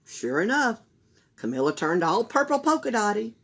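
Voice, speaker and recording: A woman reading a children's picture book aloud for a library, slow and expressive. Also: mid-range voice. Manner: slow, expressive, breathy storytelling. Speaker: library storyteller.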